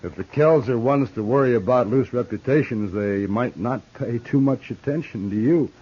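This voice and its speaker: Insolent gunslinger villain played by a male actor, American, medium pitch, smooth and mock-courteous, muffled vintage radio. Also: warm tone, close to an everyday voice. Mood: taunting. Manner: mock-polite, needling, drawn-out. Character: cold, smooth-talking, insolent Texas gunman, charming menace. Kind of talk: 1950s radio western drama acting. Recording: dull, muffled 1950s radio recording.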